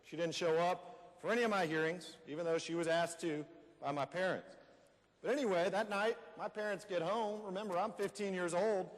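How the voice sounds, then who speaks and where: A high-pitched male American voice in a reverberant hall, an actor playing an aggrieved, plainspoken man on stage. He tells his own story conversationally, landing short phrases with emphasis. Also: fairly even intonation, normal speed, natural voice. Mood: aggrieved, matter-of-fact. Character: aggrieved plainspoken man recalling his wrongful jailing as a teenager. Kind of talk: readers theater monologue to an audience.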